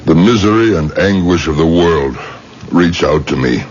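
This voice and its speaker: An actor playing a solemn rabbi exorcist with deliberate, incantatory speech in a 1970s radio drama. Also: male voice, extremely low pitch, expressive, a little mellow. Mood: weary, grave. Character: weary, solemn rabbi exorcist, commanding with spirits. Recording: dull, noisy 1970s radio broadcast.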